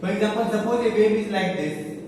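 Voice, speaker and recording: Echoey, high-pitched Indian man teaching. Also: brisk. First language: Hindi. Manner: repetitive, emphatic, questioning. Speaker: physics teacher. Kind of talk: classroom lecture with rhetorical questions. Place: reverberant indoor room. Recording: echoey room, distant mic, faint noise.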